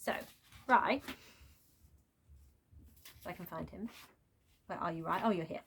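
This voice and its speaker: A woman giving an unscripted, chatty book rant as a reviewer, exasperated and prone to animated asides. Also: lowish voice, unhurried, slightly rough. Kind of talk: unscripted book rant, casual vlog chat.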